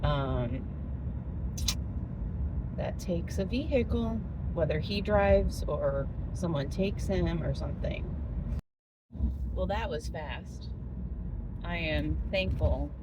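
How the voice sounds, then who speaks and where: A woman's voice: lowish voice, slow pace, pauses often. A middle-aged woman chatting informally to camera as a family vlogger, in a casual, talkative way. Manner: informal, chatty, laughs often. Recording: steady car and road noise.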